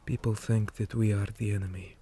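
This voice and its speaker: A man playing a weary, stern orc commander in an ASMR roleplay, speaking in a hushed, deliberate manner that often drops toward a whisper. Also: fairly low pitch, level tone, a little hiss or hum, lightly acted. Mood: somber, resolute. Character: weary male orc commander, stern, measured, dutiful soldier. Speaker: interrogator in a roleplay.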